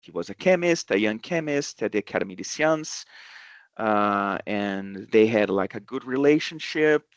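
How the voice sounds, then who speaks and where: A man gives an academic seminar talk in English, his voice low and heard dry and close. He pauses often, filling gaps with "uh" and starting phrases over as he works through his points. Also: expressive, no echo. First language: Portuguese. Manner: hesitant, many fillers and restarts. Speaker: research seminar speaker.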